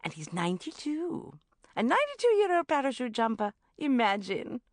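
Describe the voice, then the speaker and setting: Gushing, breathless mum, middle-aged, pitched very high and swooping, put on by a woman reader. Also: wildly swooping pitch, normal speed, no echo, clean studio sound.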